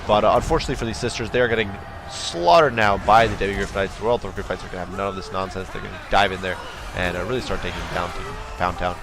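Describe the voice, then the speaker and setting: A man commentating a strategy game battle in a quick, chatty way, his voice nasal from a cold. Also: low voice. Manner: quick, chatty, many fillers and restarts. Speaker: gameplay commentator.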